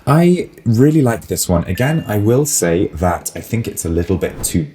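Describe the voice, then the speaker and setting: A man's voice: lowish voice, big pitch swings, normal speed, dark tone, a little hiss or hum. A man, a florist, musing in a casual reaction video, a little snuffly with a cold and hedging his opinions. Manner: musing, hedging, lots of "like" and "I think".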